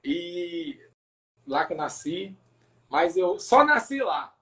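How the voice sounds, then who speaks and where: Guy from pará w northern brazil accent, kinda high voice, pastor telling his story on a podcast, repeats himself a lot. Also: big pitch swings, fairly bright tone. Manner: halting, repeats words, frequent tag questions. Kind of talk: personal testimony in casual interview.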